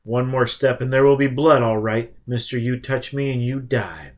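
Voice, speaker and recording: A man's voice: mid-range voice, moderate pace, a little mellow, natural voice. A man voicing a big, hot-tempered man in an audiobook, blunt and confrontational out of fear. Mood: threatening. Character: hot-tempered, big male narrator-hero, scared and fierce.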